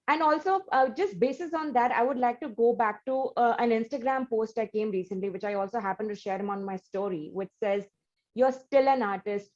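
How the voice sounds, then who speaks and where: A woman's voice: medium pitch, a little mellow, highs cut off. A woman hosting an interview, effusive and given to restarts and fillers. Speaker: interview host.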